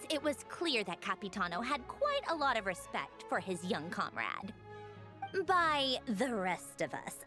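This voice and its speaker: A self-assured woman in a video game, teasing and knowing. Her delivery is conversational and very lively, rising and dipping as she shares what she knows, with faint music underneath. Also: a little high. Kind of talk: video game dialogue acting.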